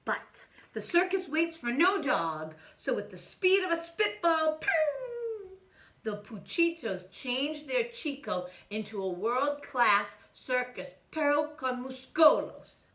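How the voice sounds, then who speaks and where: A woman reads a children's story aloud in a fairly high, very lively voice. She takes her time and plays up the drama. The room adds a noticeable echo. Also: slow, a little hiss or hum. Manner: theatrical, with hushed asides and exclamations. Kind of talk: animated picture-book read-aloud.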